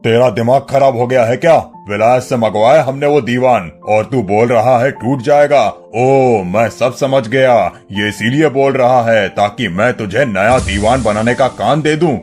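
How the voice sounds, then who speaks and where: An actor playing a haughty, suspicious village landlord with a gruff, imperious manner in a dramatized moral story. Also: male voice, mid-range voice, measured pace, hardly any pauses, a little mellow, faint music behind, lightly acted. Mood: angry, scornful.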